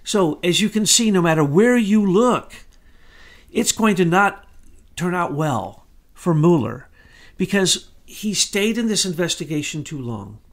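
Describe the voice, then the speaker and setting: Man, middle-aged, rapid insistent delivery, slightly high voice, political commentary co-host. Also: expressive. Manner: fast, insistent talker.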